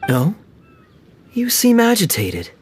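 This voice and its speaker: An actor playing a sly, charming young knight and playful schemer in a video game cutscene, speaking in a smooth, teasing, knowing way. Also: male voice, high voice, huge pitch swings, slow, heavily acted. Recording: faint game music behind.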